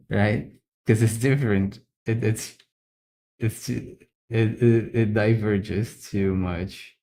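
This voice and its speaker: A man's voice: lowish voice, fairly even intonation, extremely slow, pauses often, warm tone, a little hiss or hum, low-quality recording. A man whose first language is Brazilian Portuguese, speaking English with a Brazilian accent. He talks casually while drawing, stopping and repeating words as he thinks. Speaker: illustrator.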